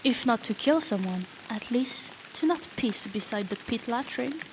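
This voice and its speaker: A woman's voice: fairly high pitch, expressive, normal speed. A woman playing a bratty, foul-mouthed female rogue in a fantasy audio roleplay, teasing the listener with cheeky, sarcastic banter. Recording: rain noise behind the voice.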